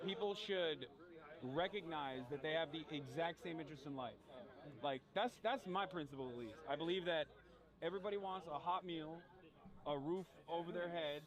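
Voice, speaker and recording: A man's voice: on the high side, normal speed, crowd noise. A man in his thirties hosting a live stream, chatting loosely and with plenty of swearing outdoors. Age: 30s. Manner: loose, profane, rambling. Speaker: streamer host. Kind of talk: casual street chat with inmate firefighters.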